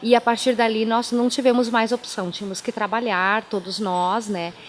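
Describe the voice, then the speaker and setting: A woman's voice: fairly high pitch, flat delivery, brisk, slight background noise. A woman from southern Brazil whose first language is German, a pastor telling her life story in a radio interview, with a chatty, anecdotal way of talking and frequent tag questions. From Timbó, southern Brazil.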